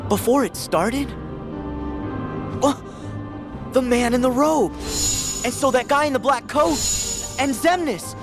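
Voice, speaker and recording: A man's voice: very high voice, slow, long pauses, heavily acted. An actor playing an earnest, stubborn teenage boy hero, plainspoken and defiant, in a video game cutscene. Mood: indignant, startled. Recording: game soundtrack music behind the voice.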